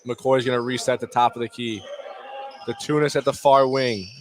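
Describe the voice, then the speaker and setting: Guy doing radio basketball play by play, short clipped calls, gets excitable on big shots, noisy gym behind him. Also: mid-range voice, normal speed. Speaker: play-by-play sports announcer. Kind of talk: live basketball radio commentary. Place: courtside at a basketball game.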